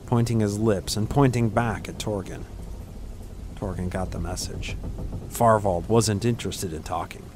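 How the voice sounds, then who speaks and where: A man's voice: fairly low pitch, a little hiss or hum. A man narrating a scripted fantasy audiobook in a dramatic storytelling style.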